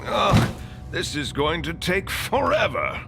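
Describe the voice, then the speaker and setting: An actor playing a haughty, imperious alien supervillain in a video game, grandiose and theatrical. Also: male voice, on the high side, wildly swooping pitch, takes it slowly, gravelly, heavily acted. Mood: exasperated. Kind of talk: animated video game acting. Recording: music behind the voice.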